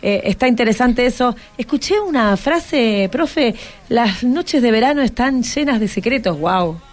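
A woman's voice: fairly high pitch, lively intonation, unhurried. A woman with an Argentine accent hosting a casual, educational radio interview, encouraging and full of short questions. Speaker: radio host interviewing schoolchildren.

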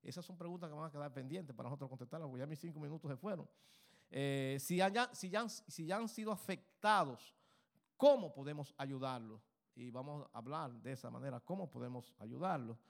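A man with a slightly higher voice speaks on a church panel. He ends his turn by urging prayer and saying amen. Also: a little fast, vocal fry. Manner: urges prayer, closes with amen.

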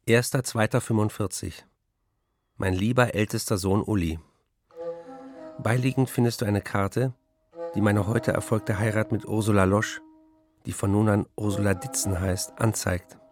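Man acting middle-aged father, low voice, earnest formal letter reading, radio drama, faint music underneath. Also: fairly even intonation, normal speed, lightly acted. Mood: tender, formal. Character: earnest fifty-year-old father, a troubled writer writing to his son. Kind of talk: letter read aloud in radio play.

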